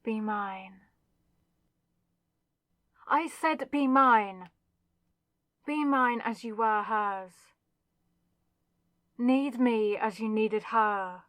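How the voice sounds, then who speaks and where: Slow, possessive demon villainess, acted by a woman. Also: mid-range voice, fairly even intonation, no room sound, natural voice. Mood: desperate, demanding. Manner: slow, deliberate, intense, with dramatic pauses. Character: possessive demonic spirit villainess, wounded and lovesick. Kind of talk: dramatic ASMR audio roleplay.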